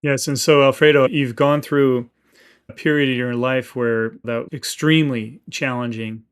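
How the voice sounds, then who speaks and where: A man's voice: medium pitch, fairly even intonation, moderate pace, clear, resonant tone. A man hosting an interview podcast who asks earnest, long-winded questions and often restarts his sentences. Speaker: podcast host.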